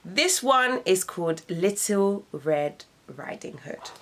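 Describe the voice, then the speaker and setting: A young adult woman reading children's stories aloud as a host, with dramatic, deliberate emphasis. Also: fairly low pitch, animated, a bit bright. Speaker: radio host, storyteller. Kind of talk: expressive children's storybook narration.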